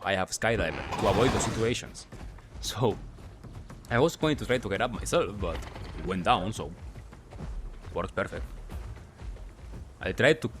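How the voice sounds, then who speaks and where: A man giving casual, explanatory gameplay commentary, halting and correcting himself as he reasons through his points. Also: on the low side, background noise. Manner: halting, self-correcting, asks and answers own questions. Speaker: gamer, video commentator.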